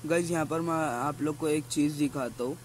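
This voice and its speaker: A man's voice: on the high side, steady tone, a little fast, over loud music. A teenage man, chatting to his viewers as he reviews a restaurant. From India. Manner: chatty, keeps addressing viewers as guys. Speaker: food vlogger. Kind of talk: casual restaurant review vlog. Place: busy mall restaurant. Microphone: phone camera at arm's length.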